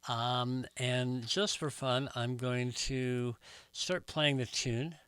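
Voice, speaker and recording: Slow-talking man, bright dry voice. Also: medium pitch, fairly even intonation, clear, resonant tone, no echo. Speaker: host of a record collecting tutorial channel. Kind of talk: step-by-step tutorial narration, casual.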